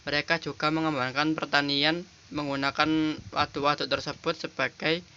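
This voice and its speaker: A young man giving a halting, polite class presentation over slides, his voice hoarse from a cold, recorded while minding a small shop. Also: fairly high pitch, little pitch movement, sharp tone, a little hiss or hum. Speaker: student presenter. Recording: lo-fi home recording.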